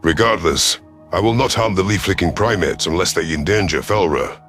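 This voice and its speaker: A man voicing a proud, gruff reptilian predator commander who narrates a sci-fi story in the first person, stern and formal. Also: deep voice, moderate pace, fairly bright tone, faint music behind. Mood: grave narration. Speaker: narrator in character.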